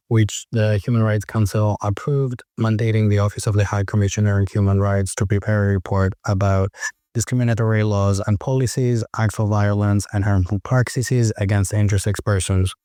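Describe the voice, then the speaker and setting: A man's voice: on the low side, mellow, no room sound, studio quality. A man moderating a discussion with prepared questions as an interviewer.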